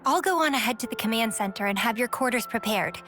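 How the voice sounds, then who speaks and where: A slightly high female voice, a polite, efficient aide in a game cutscene. Music is clearly audible behind her. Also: lively intonation, fast, fairly bright tone. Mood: courteous. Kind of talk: video game cutscene acting.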